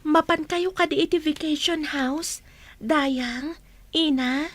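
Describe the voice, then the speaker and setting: A woman's voice: high-pitched, slow. A woman acting an anxious young daughter in an Ilocano radio drama.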